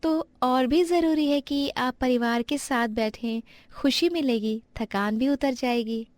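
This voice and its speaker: Earnest, gentle young daughter-in-law, played by a woman, very high pitch, dry recording, storybook drama. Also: unhurried, no room sound. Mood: bright, earnest. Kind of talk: dramatized moral story acting.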